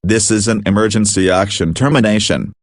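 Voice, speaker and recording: A man's voice: lowish voice, steady tone, a little fast, slight background noise, lightly acted. A man playing a frazzled emergency broadcast announcer in an amateur doomsday drama, mixing formal bulletins with slangy exclamations. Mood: grave. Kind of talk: amateur scripted emergency broadcast narration with informal outbursts.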